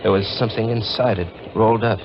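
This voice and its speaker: A man's voice: fairly low pitch, fairly even intonation, fast talker, lightly acted. An actor playing an earnest, anguished young fisherman with an American accent in a 1940s radio melodrama. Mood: brooding, reminiscing. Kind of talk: 1940s radio drama acting. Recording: noisy, muffled 1940s radio recording.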